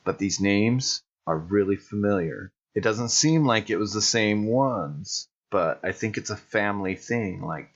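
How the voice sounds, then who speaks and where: A deep-voiced American man plays a tabletop game. He talks casually in character. The recording is a little dull and muffled. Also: fairly bright tone, breathy edge. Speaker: player in an actual-play podcast.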